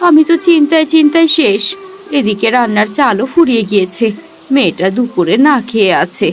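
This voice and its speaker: A woman's voice: on the high side, lively intonation, fairly quick, slight background noise. A woman voicing a worried village wife and mother waiting for her husband.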